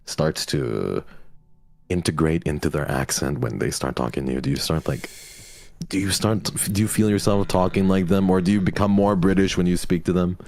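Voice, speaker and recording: A man's voice: deep voice, moderate pace, gravelly, a little mellow. A man guesting on a stream in casual chat, talking in a chatty way full of fillers.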